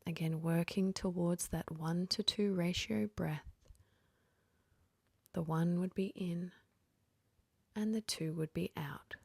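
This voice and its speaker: A woman leading a guided sleep meditation in a gentle, whispery, half-voiced delivery, leaving long pauses between phrases. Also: fairly low pitch, steady tone, creaky, slightly rough, no room sound.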